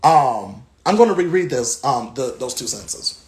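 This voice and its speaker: Thoughtful American man with halting delivery. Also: mid-range voice, very animated, darkish tone. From Southern United States. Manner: thoughtful, halting with frequent pauses. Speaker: guest reader. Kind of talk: reflective book talk and reading aloud.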